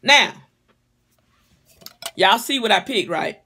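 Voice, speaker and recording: Middle-aged woman, mid-pitched voice, casual home-vlog reviewer, blunt, exasperated and freely cursing. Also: lively intonation, slow, vocal fry, over music. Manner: blunt, sweary, comically exasperated. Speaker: vlogger reviewing a wig. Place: small living room or bedroom at home, indoors. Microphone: phone or webcam at arm's length.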